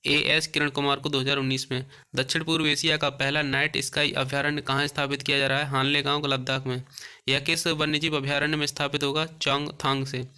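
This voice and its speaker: A male exam coach reads out quiz questions and gives short answers. His voice is very sharp and forceful, with a crisp, clean tone. The pitch stays extremely flat and even, and he repeats key terms as he goes.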